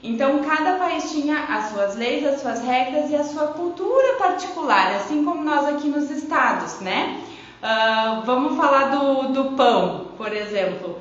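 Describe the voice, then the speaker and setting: A woman's voice: sits high, animated, a little slow. A woman with a gaúcho accent from southern Brazil, giving an informal, explanatory live-stream lesson as a teacher and often checking in with tag questions. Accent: southern Brazilian, Rio Grande do Sul (gaúcho). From Rio Grande do Sul, southern Brazil. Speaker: belly dance teacher hosting a live lesson. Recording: very echoey room, dull muffled sound.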